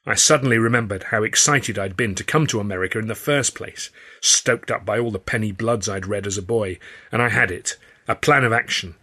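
An English man reading a first-person story aloud in a wry, literary, expressive storytelling manner. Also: on the low side, fairly quick. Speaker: author and audiobook narrator.

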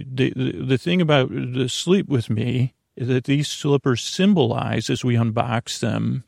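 A man hosting a bedtime podcast in a meandering, halting monologue full of trailing asides, with a creaky, gravelly voice. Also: medium pitch, a little mellow, dry recording, clean studio sound. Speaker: podcast host.

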